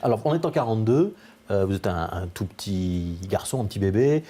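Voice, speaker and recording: A man hosting a studio political interview, asking brisk questions with many hesitations. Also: fairly low pitch, expressive, dark tone. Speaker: TV interviewer and host. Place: television studio.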